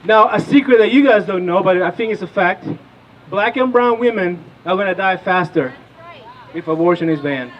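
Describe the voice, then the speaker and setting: A man's voice: high-pitched, moderate pace, lo-fi sound, slight background noise. Rousing Peruvian-born man at a rally. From Peru. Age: 30s. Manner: rousing, asks the crowd questions. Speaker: rally speaker, political organizer. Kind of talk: impassioned rally speech. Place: outdoor rally.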